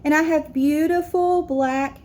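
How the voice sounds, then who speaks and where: Proud young immigrant boy narrator, read by a woman, earnest storybook delivery, higher register, slightly noisy recording. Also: steady tone, unhurried, warm tone. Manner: earnest, declarative. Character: proud, determined young immigrant schoolboy telling his story.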